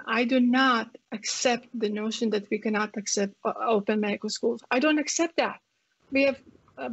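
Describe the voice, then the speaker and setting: A woman's voice: a little high, gravelly, breathy edge. A woman from Croatia with a Croatian accent, a physician guest speaking earnestly with many fillers and restarts. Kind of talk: panel discussion. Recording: muffled lo-fi audio.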